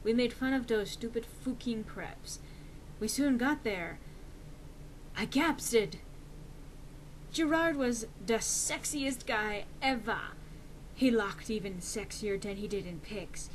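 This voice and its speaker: A woman's voice: a little high, animated, slow, a little hiss or hum, poor audio. A woman reading fan fiction aloud as a dramatic, mock-serious narration with theatrical emphasis.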